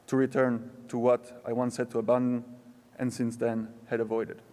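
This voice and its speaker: Young male student speaker, measured and earnest, with ceremony-hall echo. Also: medium pitch, a little mellow. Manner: slow, measured, reflective delivery with pauses. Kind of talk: prepared commencement speech. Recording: long hall reverb.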